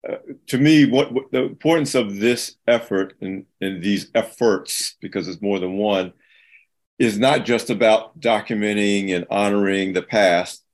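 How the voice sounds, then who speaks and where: A man with a deep voice moderating a panel discussion, speaking earnestly and often restarting his sentences.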